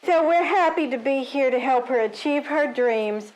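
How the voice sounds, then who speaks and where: Actress as a high-voiced suburban committee woman. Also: normal speed, background noise, close to an everyday voice. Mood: earnest, awkward. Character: folksy, awkward suburban committee woman speaking publicly. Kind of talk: comedic audio drama speech.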